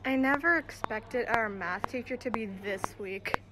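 A woman's voice: fairly high pitch, measured pace, close to an everyday voice. A teenage girl playing a blunt, nonchalant young fighter in an amateur audio drama. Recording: noisy amateur student recording.

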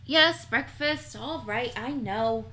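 Woman voicing an earnest, fretful girl, bright-toned. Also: a little high, slow, slight background noise. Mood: weary, grudging. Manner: fretful, chatty, thinking aloud. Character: earnest, worried girl heroine talking to her dog.

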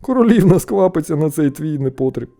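Чоловік грає роздратованого старшого брата, підвищений голос. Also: male voice, on the high side, animated, brisk, gravelly, warm tone, pitched above the performer's own voice. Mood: mocking. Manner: scornful, nagging. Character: scolding, exasperated older peasant brothers, young men.

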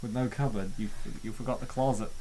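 A man hosting a comic dub, giving joking, theatrical and excitable commentary and reading comments aloud. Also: medium pitch, fast talker, slight background noise, poor audio.

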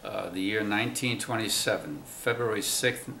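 A man in his nineties, born in Cuba, slowly recalling his family's past in an oral history interview, pausing often. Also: fairly low pitch, vocal fry, sharp tone, echoey room, slight background noise. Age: 90s. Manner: slow, halting recollection with many pauses. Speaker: interviewee, WWII navy veteran. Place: museum room, indoors.

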